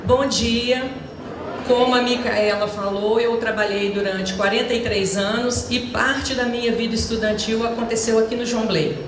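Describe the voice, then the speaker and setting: A woman's voice: fairly high pitch, background noise. A retired Brazilian teacher in her sixties telling personal stories to students in a chatty, anecdotal way, talking straight to the audience. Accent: Brazilian Portuguese. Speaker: retired teacher, guest speaker. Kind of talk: personal storytelling talk to students. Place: large echoey school hall. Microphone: handheld microphone. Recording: echoey hall from a distance.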